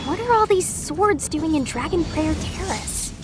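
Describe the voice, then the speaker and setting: A woman's voice: extremely high pitch, expressive, measured pace, noisy background. A woman playing a brave, earnest young female knight in a video game, spirited and concerned. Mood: puzzled. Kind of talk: video game cutscene acting.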